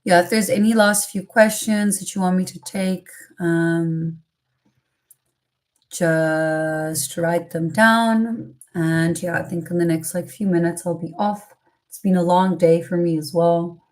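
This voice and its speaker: A woman's voice: lowish voice, slow. An Italian woman with a British-schooled English accent and a slight American twang, chatting casually on a spiritual livestream and chanting flowing syllables. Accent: British-educated English with a slight American twang. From Italy. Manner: chatty and relaxed, many fillers, chants flowing syllable strings. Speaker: spiritual channeler hosting a livestream.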